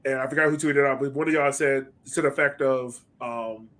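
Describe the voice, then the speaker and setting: A man's voice: medium pitch, steady tone, fairly quick, fairly bright tone. A man hosting a casual podcast interview, asking questions in an easy conversational style. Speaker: podcast host.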